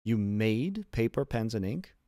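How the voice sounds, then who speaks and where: A man's voice: on the low side, sing-song, a little slow, warm tone, dry recording, studio quality. A man voicing an earnest younger prisoner, formal and full of questions, in an audiobook reading. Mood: curious, amazed. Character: earnest, astonished younger male prisoner, eager to learn.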